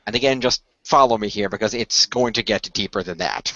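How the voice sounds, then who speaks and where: A man playing a courteous, earnest keeper of a magical secret in a radio play, speaking in a formal, measured storyteller's way. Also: lowish voice, fairly bright tone, lo-fi sound. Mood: solemn storytelling.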